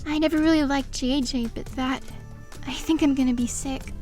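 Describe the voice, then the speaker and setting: A woman's voice: high voice, steady tone, moderate pace, darkish tone, natural voice. An actress playing a fragile, terrified young captive woman in a radio play, fearful and pleading. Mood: sick with dread. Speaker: radio drama actor. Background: prominent music.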